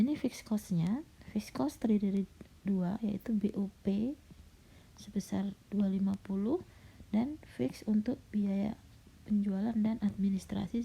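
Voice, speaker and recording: A middle-aged woman lecturer, slightly hoarse and coughing, patiently walking students through a recorded slide lecture with frequent fillers. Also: medium pitch, mellow. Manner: patient, explanatory, with frequent "nah" and "ya". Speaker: university lecturer. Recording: dull lo-fi recording.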